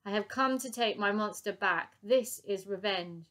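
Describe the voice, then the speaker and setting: A woman's voice: medium pitch, unhurried, fairly bright tone, lightly acted. A woman voicing a vengeful old male professor who speaks in a pompous, gloating manner.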